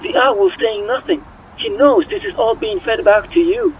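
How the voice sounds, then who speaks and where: An actor playing a streetwise informant passing on underworld gossip in a conspiratorial tone, heard through a phone filter. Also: male voice, extremely high pitch, huge pitch swings, normal speed, heavily acted. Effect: radio or phone filter. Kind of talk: scripted comic-book drama dialogue. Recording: thin phone-like line, faint noise.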